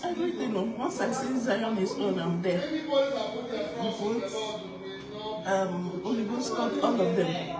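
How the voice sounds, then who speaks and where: A Yoruba-speaking woman from Nigeria with a Nigerian accent, answering interview questions in a soft, easygoing way. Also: mid-range voice, expressive, unhurried, long pauses, loud music behind the voice. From Nigeria, Ogun State. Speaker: church member interviewed. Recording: echoey and distant with a loud crowd.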